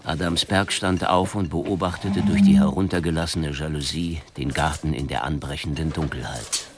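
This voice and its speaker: A man's voice: rumbling low, fairly even intonation, moderate pace, very noisy. A man narrating a crime story as the narrator of a radio drama.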